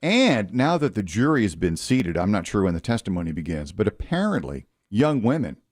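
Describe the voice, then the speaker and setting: Lively low-voiced radio co-host man. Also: brisk, no room sound, studio quality. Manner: chatty, laughs easily. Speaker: radio morning show co-host. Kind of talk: casual radio banter.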